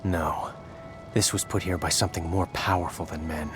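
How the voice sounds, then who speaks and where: A man's voice: low-pitched, fairly even intonation, normal speed, natural voice. A man playing an earnest, curious human adventurer in a video game cutscene, speaking plainly. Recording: loud music behind voice.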